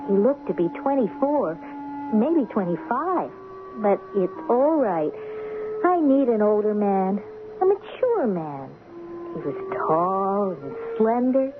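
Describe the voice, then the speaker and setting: An actress playing a lively, questioning teenage high school girl in a radio drama, expressive and wry. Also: slow, music in the background. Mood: breezy narrating. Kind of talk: 1970s radio drama acting with first-person narration. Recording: muffled lo-fi radio recording with hiss.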